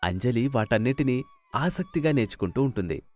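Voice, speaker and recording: Expressive male storyteller, music behind. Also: medium pitch, a little fast. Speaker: narrator. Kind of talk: scripted moral story narration.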